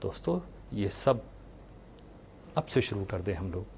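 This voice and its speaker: A man giving a religious sermon, speaking earnestly to his followers with rhetorical questions and deliberate pauses. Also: fairly low pitch, animated, gravelly, a little mellow, a little hiss or hum.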